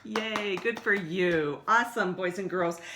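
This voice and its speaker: A woman's voice: mid-range voice, unhurried, background noise. A woman leading a story time for young children, speaking in simple, encouraging words as she explains songs, stories and activities. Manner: simple, encouraging address to small children with repetition.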